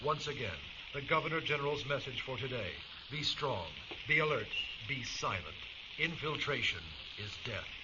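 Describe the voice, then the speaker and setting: A man's voice: mid-range voice, expressive, moderate pace, natural voice. An actor playing a stern, formal male newscaster reading a wartime bulletin in a clipped, official manner. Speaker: newsreader. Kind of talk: scripted wartime news bulletin. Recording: dull radio broadcast under loud crackle.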